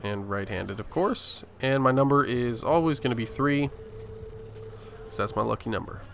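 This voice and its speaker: Gravelly American man doing game commentary. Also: medium pitch, moderate pace, a bit bright. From Arizona, United States. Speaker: gaming video host. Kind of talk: casual gameplay commentary. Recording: voice-over with faint game music.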